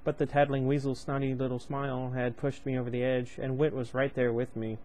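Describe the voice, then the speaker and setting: A young man reading a novel aloud, casual and unpolished. He rambles, drops in chatty asides and stops to find his place again. His tone is mellow and on the dark side. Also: mid-range voice, level tone, a little fast, a little hiss or hum, poor audio. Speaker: book reader, channel host.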